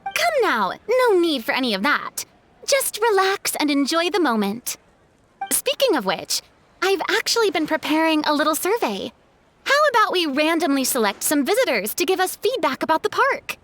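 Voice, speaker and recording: A woman's voice: very high-pitched, very animated, normal speed, a bit bright, a little hiss or hum, heavily acted. An actress playing a gracious, theatrical young female celebrity in a video game, speaking in a grandiose, eloquent way. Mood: breezy, self-assured.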